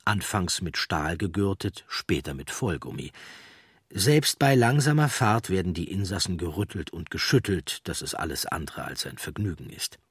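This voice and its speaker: A man reading scripted documentary narration with measured, storytelling delivery and a husky voice. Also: low-pitched, dry recording, clean studio sound.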